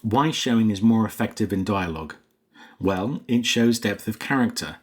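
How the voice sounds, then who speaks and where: Low-pitched man giving a scripted lesson. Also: moderate pace, husky. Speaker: writing coach and host. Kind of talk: scripted instructional narration.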